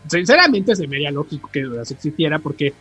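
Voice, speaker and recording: Husky, higher-pitched Mexican man podcasting. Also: very animated, fast talker, a bit bright. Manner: emphatic, repeats words for stress. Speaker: podcast co-host. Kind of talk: casual, slangy podcast chat. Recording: music behind the voice.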